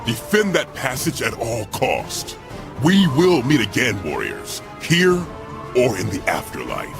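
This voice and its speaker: An actor playing a proud, stern medieval guard captain with a solemn, commanding delivery in a video game cutscene. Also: male voice, mid-range voice, huge pitch swings, measured pace, gravelly, music in the background, exaggerated voice. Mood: rousing, grave. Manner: commanding, solemn, declamatory oratory. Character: proud stern Varangian guard captain defending his city.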